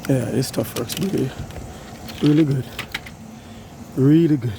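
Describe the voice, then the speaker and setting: A hoarse man who has lost his voice, casually explaining an air conditioner cleaning while he works outdoors. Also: mid-range voice, unhurried, background noise. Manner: loose, repetitive, talks while working. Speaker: HVAC technician. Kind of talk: casual how-to demonstration.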